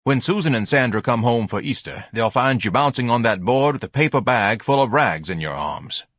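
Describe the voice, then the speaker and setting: Guy doing a fed-up sarcastic husband, grumbly and dry, bit husky, audiobook character. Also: mid-range voice, lively intonation, normal speed, fairly bright tone, dry recording. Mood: exasperated. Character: weary, sarcastic, exasperated middle-aged husband.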